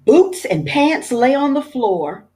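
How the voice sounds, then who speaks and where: A woman, a teacher, reading a children's picture book aloud in an expressive storytelling manner. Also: high voice, slow pace.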